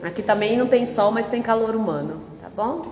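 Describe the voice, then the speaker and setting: A woman's voice: on the high side, a little fast. Congested woman lecturing in echoey hall. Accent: Brazilian Portuguese. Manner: gentle, conversational, frequent "né?". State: congested, nasal from a cold. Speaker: spiritist lecturer. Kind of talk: public talk with opening prayer. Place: large hall of a spiritist center. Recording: echoey hall with long reverb.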